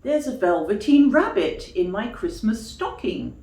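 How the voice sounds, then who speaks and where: A woman's voice: a little high, very animated, measured pace, warm tone, echoey room, pitched above the performer's own voice. A woman voicing an affectionate, eager young boy in a storybook.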